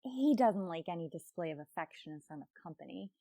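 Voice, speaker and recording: Wry, deadpan radio comedy schoolteacher played by an actress. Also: female voice, lowish voice, brisk, creaky, dry recording. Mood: falsely sweet. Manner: deadpan, sarcastic asides. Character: wry, lovelorn female schoolteacher with dry wit. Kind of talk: old-time radio situation comedy acting.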